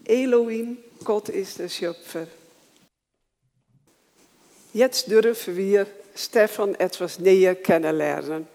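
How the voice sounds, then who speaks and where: A worship leader who welcomes the congregation, prays and reads scripture in a slow, halting way, with small grammar slips. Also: medium pitch, gravelly, a little mellow, big-room sound. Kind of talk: church welcome, prayer and scripture reading.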